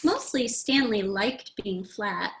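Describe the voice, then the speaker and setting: A woman reading a children's storybook aloud with deliberate, expressive delivery. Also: medium pitch, slight background noise.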